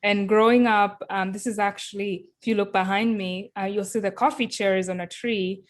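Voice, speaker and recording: A woman from Kenya answering interview questions over a video call, measured and thoughtful, with frequent ums. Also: mid-range voice, fairly even intonation. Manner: thoughtful, many ums and uhs. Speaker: guest, company founder.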